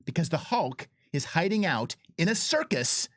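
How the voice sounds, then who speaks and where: A man's voice: fairly high pitch, very animated, moderate pace, no room sound, studio quality. A man talking casually as a game developer, explaining things emphatically and repeating phrases to drive points home. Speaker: game developer giving commentary. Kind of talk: casual chat, explaining with enthusiasm.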